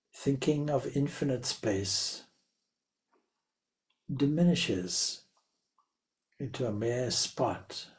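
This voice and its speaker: A man leading a guided meditation as a spiritual teacher, speaking deliberately in short phrases with long pauses. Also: mid-range voice, a little mellow.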